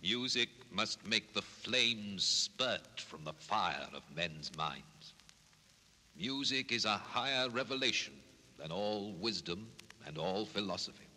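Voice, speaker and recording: A man's voice: fairly low pitch, lively intonation, slow, sharp tone, soft background music, heavily acted. A man playing a visionary great composer in a vintage children's record drama, solemn, declamatory and grand. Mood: solemn, exalted. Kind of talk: 1960s children's record radio-play acting. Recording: reverberant, slightly noisy vintage record.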